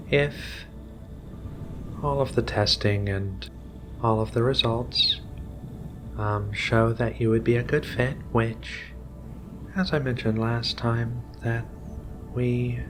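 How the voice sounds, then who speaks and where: Man voicing a slow, tender alien cat woman. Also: medium pitch, natural voice. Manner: soft, slow, tender, halting. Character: gentle affectionate alien furry cat researcher, female. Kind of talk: ASMR roleplay.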